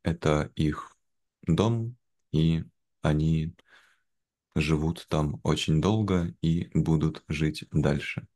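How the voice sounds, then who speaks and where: Young male, very deep register, unhurried and measured, simple learner-friendly conversation. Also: a little mellow. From Veliky Novgorod, Russia. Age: young adult. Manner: measured, clearly phrased. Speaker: interview guest, language teacher. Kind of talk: slow, simple conversational speech for language learners. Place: indoor room, video call. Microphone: video call, wearing headphones.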